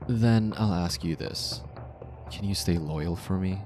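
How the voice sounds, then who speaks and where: A man playing a possessive, smug mafia boss in an intimate ASMR roleplay, speaking deliberately and commandingly. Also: low voice, darkish tone, soft background music. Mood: demanding, intent.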